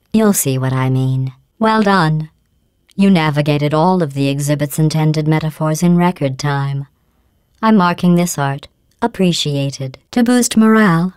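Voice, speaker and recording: A low, smooth female machine intelligence that sneers through politeness. The voice is cool and condescending, recorded dry and close for a game. Each barb comes out level and unhurried. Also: no room sound. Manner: dry, condescending insults delivered calmly. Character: cold sarcastic female AI overseer. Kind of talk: video game voice clips.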